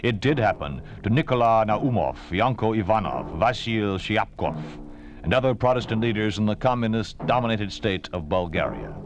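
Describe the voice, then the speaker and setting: A man's voice: fairly low pitch, expressive, moderate pace. An American man announcing in a scripted, grave and declarative 1950s radio style. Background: prominent music.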